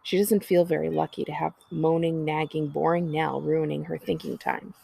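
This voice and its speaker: Husky, creaky woman reading a children's storybook. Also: low voice, little pitch movement, normal speed, mellow, no room sound. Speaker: librarian reading a book aloud. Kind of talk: expressive children's storybook narration.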